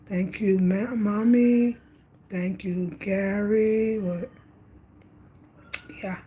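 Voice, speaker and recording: A woman's voice: medium pitch, steady tone, very slow, warm tone. A woman in her fifties from New York, talking casually and reflectively about travel and spirit, repeating phrases for emphasis. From Brooklyn, New York. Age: 50s. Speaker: travel vlogger.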